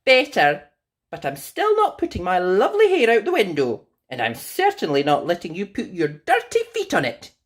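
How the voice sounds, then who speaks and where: A woman's voice: medium pitch, wildly swooping pitch, moderate pace, voice pushed up. A woman voicing a vain young storybook heroine with a haughty, dramatic way of talking. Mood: haughty, dismissive.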